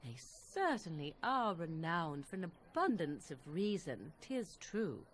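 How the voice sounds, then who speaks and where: A woman's voice: fairly low pitch, sing-song, a little slow, a little hiss or hum. An actress playing a scornful young witch in a fantasy game, with biting condescension and archaic, formal phrasing. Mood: taunting. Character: scornful young witch, haughty, cold, mocking. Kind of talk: fantasy video game dialogue acting.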